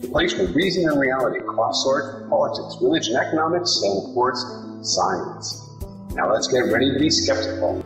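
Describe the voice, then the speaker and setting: A man giving an energetic show intro as the announcer. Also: medium pitch, normal speed. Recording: echoey hall reverb over music.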